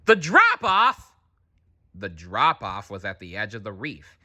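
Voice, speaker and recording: A man reading a children's storybook aloud in an animated, chatty way, slipping in casual asides. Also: sits low, normal speed. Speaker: storybook reader.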